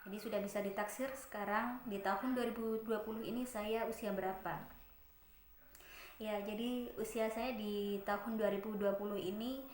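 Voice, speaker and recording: A woman in her twenties from Malang, giving a friendly, unhurried introductory lecture and asking her students questions. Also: mid-range voice, fairly even intonation, darkish tone, roomy sound, slight background noise. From Malang, East Java, Indonesia. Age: 20s.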